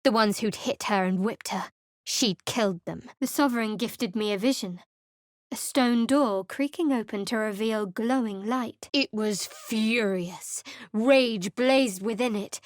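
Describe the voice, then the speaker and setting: A woman's voice: on the high side, a little slow, dry recording, close to an everyday voice. An actress voicing a guarded, sardonic young female cleric in video game voice lines, with a cool, dry manner. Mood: wry.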